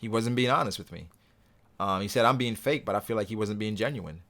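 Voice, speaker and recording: Low-voiced young man chatting on stream. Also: fairly quick. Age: young adult. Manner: relaxed, rambling, reads viewer comments aloud. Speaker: livestream host reading chat. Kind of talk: casual livestream chat.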